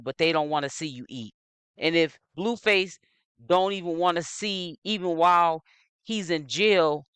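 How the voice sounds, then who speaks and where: A man's voice: on the high side, a bit bright, smooth, no echo, studio quality. A man hosting his own commentary channel, delivering an opinionated gossip monologue in a preachy, admonishing way, repeating phrases for emphasis. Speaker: social media commentator, channel host.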